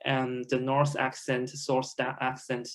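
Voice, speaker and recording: A man's voice: mid-range voice, monotone, slow. A man from China speaking English as a second language as a guest on an online alumni panel, talking hesitantly with many fillers and frequent laughs. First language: Chinese. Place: home, over a video call.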